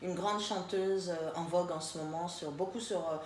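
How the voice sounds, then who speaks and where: A woman's voice: on the low side, fairly even intonation, moderate pace. A woman hosting a casual interview show, chatting and prompting her guest with short acknowledgements. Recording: echoey room with faint background noise.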